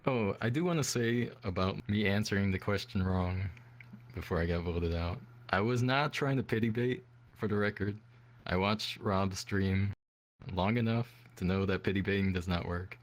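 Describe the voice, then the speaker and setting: A man with a deep, smooth voice speaks in a low register, soft-spoken and reflective. He answers interview questions about being voted out of a contest in a relaxed, modest way, recorded on a desktop mic. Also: moderate pace. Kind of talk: casual online interview.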